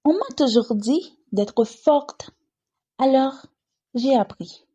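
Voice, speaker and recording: A woman delivers a dramatic spoken-word monologue very slowly, setting down each short phrase with deliberate weight. Her voice is soft and breathy, with a dark, mellow tone. The recording sounds slightly dull and lo-fi. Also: high-pitched, animated. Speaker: monologue performer.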